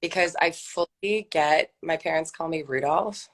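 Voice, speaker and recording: A woman actress being interviewed in a casual chat, relaxed and rambling, laughing often. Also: unhurried. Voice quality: calls her own voice deep. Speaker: guest actress.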